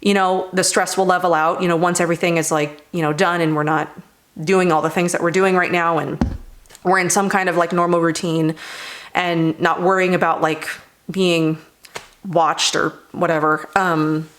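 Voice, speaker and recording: A young woman vlogging about skincare in a rambling, casual way with lots of fillers, her voice slightly hoarse after laryngitis. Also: lowish voice, flat delivery, normal speed, a bit bright. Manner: rambling, many fillers like "like" and "you know". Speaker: beauty vlogger. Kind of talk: casual product review chat.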